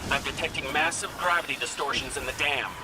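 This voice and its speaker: An actor playing a small, earnest robotic companion in a video game, speaking in a precise, helpful way. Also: male voice, fairly high pitch, fast, natural voice. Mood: alert, informative. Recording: music behind the voice.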